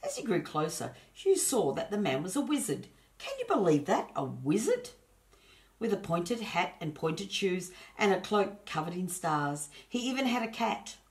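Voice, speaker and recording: A woman storyteller reading a children's story aloud, expressive and chatty with her young listeners. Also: lowish voice, moderate pace. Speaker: storyteller and host.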